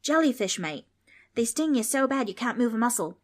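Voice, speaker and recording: A woman's voice: fairly high pitch, expressive, brisk, voice pushed up, lightly acted. A woman reading aloud, voicing a cheerful, chatty boy.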